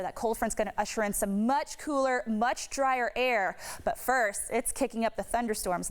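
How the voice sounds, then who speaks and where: A woman's voice: on the high side, smooth. A woman speaking as a TV meteorologist, giving an unscripted, emphatic and explanatory weather forecast. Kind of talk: ad-libbed weather forecast commentary.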